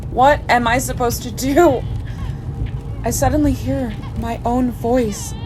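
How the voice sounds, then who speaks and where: A woman's voice: fairly high pitch, steady tone, slow pace, background noise. A woman playing a guilt-ridden, desperate young mother, narrating a first-person horror audio play in a breathless, pleading, dramatic way. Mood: anxious, desperate. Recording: music behind the voice.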